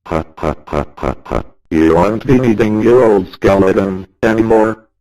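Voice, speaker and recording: Deep sinister robot skeleton played by a man. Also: unhurried, dark tone, low-quality recording. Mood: cold, menacing. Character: sinister glitchy robotic endoskeleton menace. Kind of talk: video game character voice lines.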